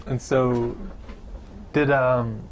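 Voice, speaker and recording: A man interviewing a guest for an oral history project, asking soft-spoken questions with small hesitations. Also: medium pitch, flat delivery, very slow, a little hiss or hum.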